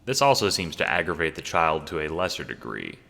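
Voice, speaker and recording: Deep, gravelly man narrating with long reverb. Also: big pitch swings, pushed and bright. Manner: theatrical, deliberate storytelling. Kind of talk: scripted audio drama narration.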